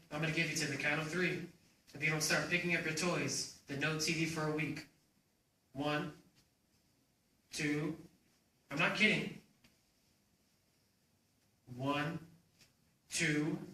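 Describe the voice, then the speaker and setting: A man's voice: fairly high pitch, steady tone, slow, pauses often, pushed and bright, exaggerated voice. A man playing a well-meaning, hapless father in a readers theater performance. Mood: coaxing, firm. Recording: very echoey room, distant mic, faint noise.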